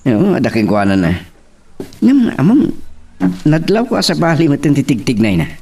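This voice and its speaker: Man acting a very animated Ilocano boyfriend. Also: on the high side, a little fast, breathy edge, exaggerated voice. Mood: polite. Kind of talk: Filipino radio-style romance drama acting.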